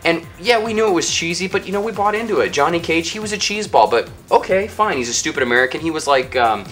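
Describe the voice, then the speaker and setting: A man co-hosting a video game show, giving casual countdown commentary in an animated, explanatory way. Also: fairly high pitch, fast talker, faint music behind.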